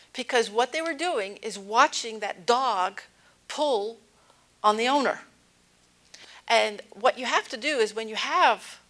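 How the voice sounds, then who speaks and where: Woman, 60s, science lecturer. Gravelly creak, mid-range pitch, conversational explainer at a podium. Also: a bit bright. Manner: conversational, explanatory, with plain-spoken asides. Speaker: astronomy lecturer. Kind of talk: informal public science lecture. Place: indoor stage at a podium. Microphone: lapel mic.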